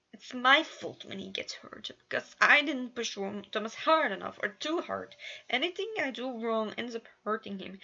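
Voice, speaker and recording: A woman's voice: on the high side, vocal fry, bright, forceful tone, highs cut off, full character voice. A woman voicing an anxious, self-blaming young man in a story reading, worried and defensive.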